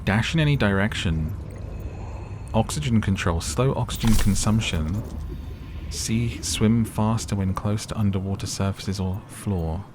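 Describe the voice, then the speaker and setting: A man's voice: deep voice, noisy background. A man hosting a let's-play with chill, rambling commentary and self-deprecating asides, his voice a little worn today. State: slightly losing his voice. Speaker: gaming let's-play host. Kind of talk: chill casual gameplay commentary. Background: background music.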